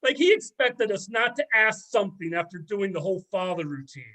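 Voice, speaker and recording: Sardonic middle-aged ex-student, played by a man, high male voice, gossipy and mocking, amateur readers theater. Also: big pitch swings, brisk, pushed and bright, heavily acted. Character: sardonic middle-aged former student dishing on an old teacher. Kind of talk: amateur readers theater, conversational script reading.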